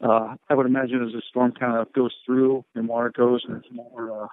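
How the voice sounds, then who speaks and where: A man with an even, unanimated delivery briefs reporters as a rescue official. He speaks in measured procedural terms and operational jargon, over a dry, narrow, phone-like line. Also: mid-range voice, no room sound. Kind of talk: conference call briefing, question and answer.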